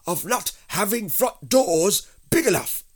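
A man voicing a plump, innocent, greedy storybook bear with hesitant, plaintive, drawn-out speech. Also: on the high side, expressive, a little mellow, pitched above the performer's own voice. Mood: cross, pained.

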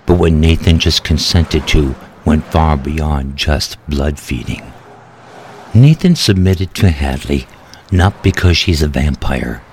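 Very deep male story narrator. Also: animated, slow, a little mellow, slight background noise. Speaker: author narrating own story. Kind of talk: scripted dramatic narration. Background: music.

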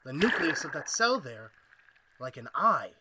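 An actor playing an obsessed, domineering mad scientist in a radio horror play, imperious, excitable and gloating. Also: male voice, on the high side, unhurried, heavy background noise. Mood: fascinated. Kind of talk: 1930s-style radio horror acting.

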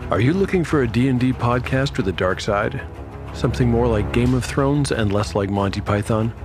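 A man's voice: medium pitch, normal speed. A man narrating a scripted podcast promo as its host, in a dramatic manner. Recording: music bed behind the voice.

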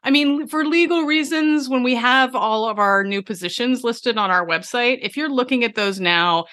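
Bright-voiced woman co-hosting a casual podcast. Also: fairly high pitch, normal speed. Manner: enthusiastic, playful. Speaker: podcast co-host.

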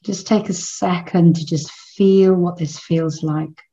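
A woman leading a guided meditation in a soothing, unhurried manner with long pauses between phrases. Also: on the low side, fairly even intonation, dark tone, dull audio.

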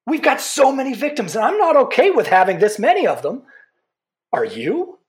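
A woman's voice: fairly high pitch, sing-song, normal speed. A woman playing a zealous, insistent political campaigner in a podcast drama, speaking emphatically with rhetorical repetition. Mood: urgent, insistent. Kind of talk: fiction podcast drama, talk show debate.

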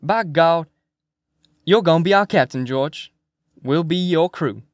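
Guy doing a brash pushy ringleader for an audiobook, hearty, loud, raised pitch, dry sound. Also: expressive, a little slow, dry recording. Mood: hearty persuasion. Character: brash, cajoling rowdy man, loud ringleader. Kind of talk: acted audiobook character dialogue.

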